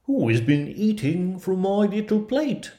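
Man playing a high-voiced, fussy little fairy tale dwarf. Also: sing-song, a little slow, warm tone. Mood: indignant, puzzled. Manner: exclamatory, emphatic storybook character delivery. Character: small male dwarves of the mines, fussy, astonished, kindly. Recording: roomy echoing recording.

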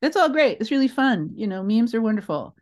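An older woman speaking as an interview guest, telling anecdotes in an expressive, emphatic, rambling way with asides. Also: on the high side, a little fast. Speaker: guest, theatre creator. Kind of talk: animated anecdotal storytelling in an interview.

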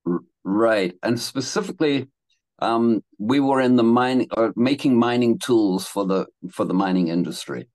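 A man's voice: medium pitch, normal speed, a little mellow, no room sound. A man in his seventies from England, telling his life story as a guest in a casual interview, rambling with restarts and laughing between thoughts. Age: 70s.

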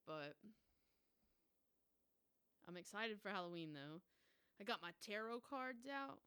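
A woman's voice: medium pitch, lively intonation, slow pace, sharp tone, clean tone. A woman in her twenties hosting a casual solo podcast, rambling and swearing freely with lots of fillers. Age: 20s. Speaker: podcast host.

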